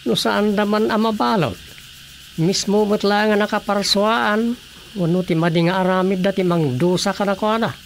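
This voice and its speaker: Creaky, grumbling Ilocano villager. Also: male voice, sits high, fairly even intonation, normal speed, slight background noise. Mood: indignant. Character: grumbling, indignant villager condemning wrongdoers. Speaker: voice actor. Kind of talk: radio drama comedy acting.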